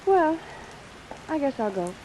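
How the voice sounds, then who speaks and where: A world-weary noir dame from 1940s radio, guarded and terse with tenderness underneath. Her voice sits a bit high, on a vintage recording with faint hiss and rain. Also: big pitch swings, measured pace, dark tone, rough recording. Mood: guarded, cool. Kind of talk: 1940s radio drama acting.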